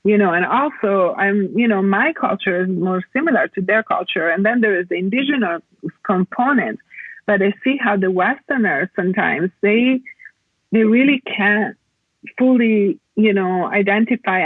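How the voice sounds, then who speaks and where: A woman from Italy, explaining reflectively as a podcast guest in a halting, conversational way over a remote call. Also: mid-range voice, breathy edge, no echo, narrow, tinny audio. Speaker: podcast guest, plant medicine practitioner.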